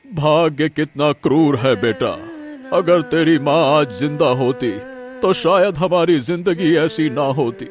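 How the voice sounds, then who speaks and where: पुरुष कलाकार धीमा बोलता दुखी बूढ़ा पिता. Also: male voice, fairly high pitch, faint music behind. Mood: sorrowful. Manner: slow, lamenting. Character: sorrowful, frail old village father, self-pitying.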